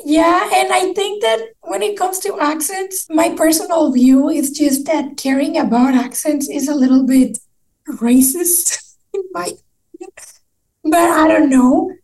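A woman's voice: high-pitched, a little slow, a little mellow, rough recording. A young woman with a Latin American Spanish accent, hosting a casual interview podcast. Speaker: podcast host.

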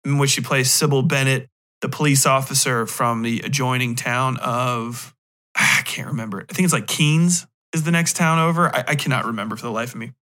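A man's voice: medium pitch, a little fast, bright, forceful tone. A man, thirties or older, hosting a podcast in a casual, rambling monologue, cutting himself off with wry, sometimes profane asides. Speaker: podcast host.

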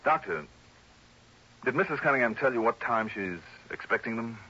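An actor playing a shrewd, politely probing American insurance investigator in mid-century radio drama style. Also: male voice, medium pitch, expressive, normal speed, bright, forceful tone, breathy edge. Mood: probing, businesslike. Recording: dull, hissy old radio recording.

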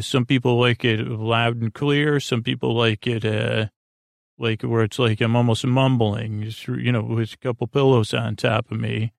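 Male bedtime podcast host: creaky, mid-pitched, drowsy and meandering, soothing delivery. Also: no echo, studio quality. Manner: meandering, hesitant, stop-start; soothing, sleepy. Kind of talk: rambling bedtime podcast monologue.

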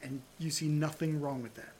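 A man's voice: a little high, level tone, moderate pace, warm tone, slight background noise, natural voice. A man playing a cautious, level-headed sidekick in an audio drama, reasoning and exasperated in naturalistic banter. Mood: skeptical.

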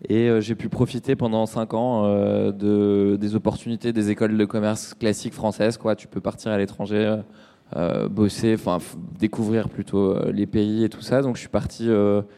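Low-voiced man in a reverberant hall. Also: level tone, normal speed, warm tone, clean tone. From Nantes, France. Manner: informal and slangy, hesitant with many trailing tags. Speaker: startup co-founder, podcast guest. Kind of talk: casual conversational interview.

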